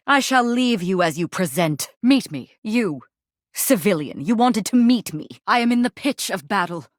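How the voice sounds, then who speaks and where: A woman's voice: mid-range voice, no room sound, clean studio sound, close to an everyday voice. A woman voicing a fierce, haughty general in a video game, declaiming in grandiose, archaic Shakespearean language. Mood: indignant, menacing. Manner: bombastic, archaic theatrical declaiming. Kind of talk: video game character acting.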